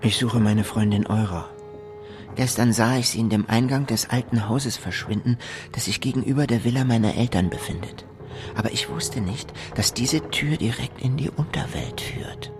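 A man's voice: medium pitch, normal speed, music in the background, lightly acted. A man playing an earnest, questioning lover searching for his girlfriend in a German radio play. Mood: searching, uncertain.

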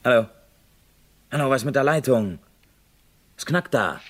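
An actor playing a brash, hard-bargaining newspaper reporter in a radio crime play, with a husky voice and terse, punchy delivery. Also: male voice, medium pitch, lively intonation, measured pace. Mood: urgent reporting.

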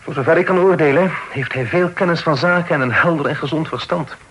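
Earnest young gentleman, bright voice. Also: a little high, fairly quick, breathy edge. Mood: reasoning, persuasive. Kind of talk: radio drama acting. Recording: noisy old radio drama recording.

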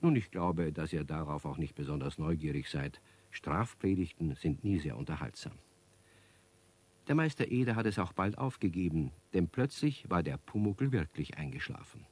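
A man narrating a children's radio play as a friendly, dryly amused storyteller with a deep, husky voice. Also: expressive, normal speed, darkish tone. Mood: wry, calm.

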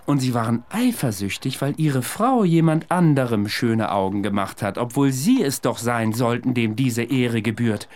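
Man as animated, insistent amateur psychoanalyst. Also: medium pitch, normal speed, hardly any pauses, natural voice. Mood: triumphant, insistent. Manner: eloquent, probing, emphatic. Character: confident, eccentric amateur psychoanalyst, provocative and insistent. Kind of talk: German radio play acting.

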